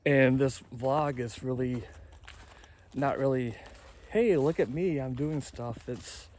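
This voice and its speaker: A man talking casually to his vlog audience in a candid, halting way, often correcting himself as he rambles through updates. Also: medium pitch, darkish tone, slight background noise. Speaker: amateur radio hobby vlogger.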